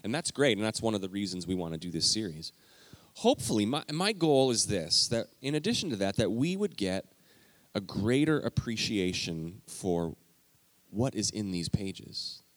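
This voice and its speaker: Lively low-voiced man preaching. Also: normal speed, dry recording. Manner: lively, emphatic, talks directly to the congregation. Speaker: pastor. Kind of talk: conversational teaching sermon. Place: church sanctuary.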